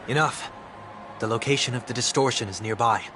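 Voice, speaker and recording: A man's voice: mid-range voice, brisk, slight background noise, natural voice. A man voicing a solemn, formal fallen leader. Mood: composed. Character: dignified winged man, former elite leader. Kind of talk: game voice acting. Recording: game audio with loud music.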